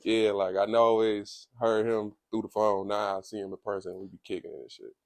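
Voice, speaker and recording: A young man with a Detroit accent, telling stories about his upbringing in a laid-back, slang-heavy way, with a low, calm voice. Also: brisk, no room sound. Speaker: guest, comedian. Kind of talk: casual interview chat.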